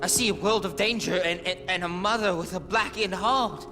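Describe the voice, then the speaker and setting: A man playing a dopey, excitable stoner sidekick in a video game, a fake seer with melodramatic, faltering delivery. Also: high-pitched, normal speed, over music, lightly acted. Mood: excited. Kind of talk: video game cutscene acting.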